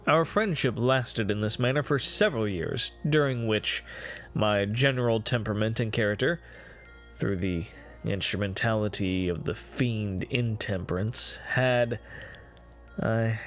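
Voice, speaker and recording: A man gives a dramatic reading of a gothic horror story, with measured, deliberate phrasing and dramatic pauses. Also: on the low side. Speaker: amateur reader of a classic horror story. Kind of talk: dramatic reading of gothic first-person fiction. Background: music behind the voice.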